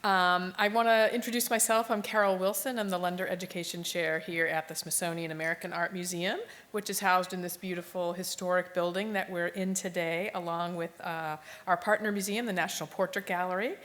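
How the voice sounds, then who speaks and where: A woman's voice: lowish voice, steady tone, fairly quick, few pauses, fairly bright tone. A woman hosting and welcoming an audience from a museum stage, enthusiastic and chatty with fillers. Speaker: museum education chair, host. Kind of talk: welcome address. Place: stage in a historic museum building.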